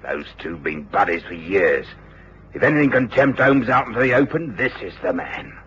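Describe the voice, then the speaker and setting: A man's voice: lowish voice, animated, moderate pace, fairly bright tone. An actor playing a cool British criminal henchman in a radio drama, giving orders in a hard, matter-of-fact way. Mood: cool, calculating. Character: cool, bossy criminal henchman taking charge. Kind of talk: old radio drama acting. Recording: dull, hissy old radio recording.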